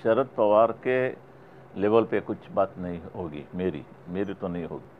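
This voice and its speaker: Tinny, noisy recording of a halting man. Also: low-pitched, animated. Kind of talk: spontaneous political remarks. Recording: muffled phone-like archive audio, noisy.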